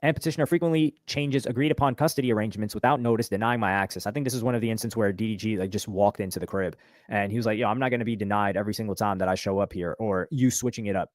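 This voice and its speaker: A man's voice: on the low side, no echo, clean studio sound. A man reading a court document aloud and adding casual comments as he goes. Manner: brisk, reads documents quickly then comments casually. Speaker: streamer reacting to court filing.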